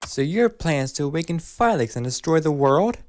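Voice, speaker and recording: A man's voice: fairly high pitch, expressive, brisk, darkish tone, natural voice. A man voicing a brave, defiant young hedgehog hero in a game cutscene, speaking earnestly and boldly. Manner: earnest, bold. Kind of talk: fan game cutscene voice acting.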